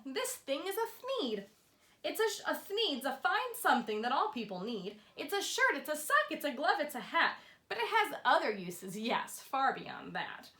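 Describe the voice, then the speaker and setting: A woman's voice: high voice, sharp tone, roomy sound, heavily acted. A woman voicing a secretive, self-justifying old male trader in a storybook, with a confiding, defensive salesman's manner.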